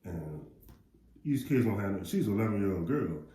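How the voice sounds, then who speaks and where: A middle-aged American man with a deep voice, chatting casually in a rambling, plain-spoken way as a parent giving advice. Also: sing-song, normal speed, warm tone. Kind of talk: casual chat. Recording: roomy echo, faint background noise.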